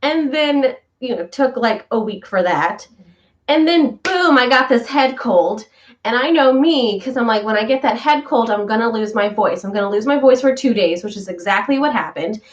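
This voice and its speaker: A woman talks in a loose, rambling way as she hosts a morning chat for her viewers from home. Her voice sits a little high and sounds slightly stuffy from sinus trouble. The recording is muffled and lo-fi. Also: normal speed. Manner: chatty, rambling, animated. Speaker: vlog host, voice worker.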